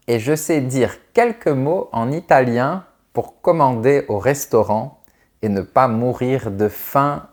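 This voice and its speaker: A man in his thirties from France, a French teacher answering viewers' questions in a clear, carefully articulated, friendly way. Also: medium pitch, darkish tone. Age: 30s. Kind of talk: slow, clear video Q&A for learners.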